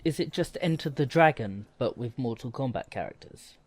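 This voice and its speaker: Medium-pitched man hosting casual chat. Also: animated, moderate pace, a little mellow, no echo. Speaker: podcast host.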